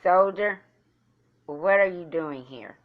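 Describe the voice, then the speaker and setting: A woman's voice: fairly low pitch, slow, narrow, tinny audio. A woman playing a guarded, defiant vigilante heroine in an amateur superhero film, firm and serious. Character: defiant, guarded female vigilante hero with a past.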